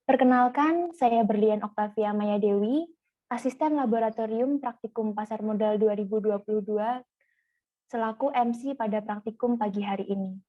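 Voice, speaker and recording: Tinny, dry-voiced young MC. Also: female voice, mid-range voice, normal speed, dry recording. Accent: Indonesian. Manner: formal, ceremonious, reads the agenda. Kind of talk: formal event hosting. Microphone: video call. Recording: dry, tinny call audio.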